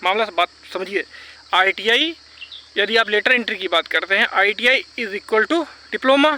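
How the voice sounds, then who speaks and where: Man, high-pitched and piercingly bright, insistent informal advice-giver, faint outdoor noise behind. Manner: insistent, repeats points; mixes English words into speech. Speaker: vlogger advising exam candidates. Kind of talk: informal argumentative advice talk.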